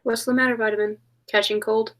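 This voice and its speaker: Woman as eager high-voiced radio-play sweetheart. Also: little pitch movement, a little fast, background noise, lightly acted. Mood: bright, casual. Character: eager, excitable young woman snapping photographs, Tess. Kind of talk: 1940s radio drama acting.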